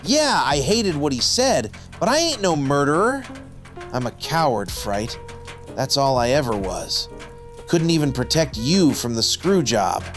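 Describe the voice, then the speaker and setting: An actor playing a middle-aged, washed-up wrestler, bitter, defensive and self-loathing, in a noir radio drama. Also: male voice, a little high, big pitch swings, a little slow, fairly bright tone, soft background music. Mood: defeated, defensive.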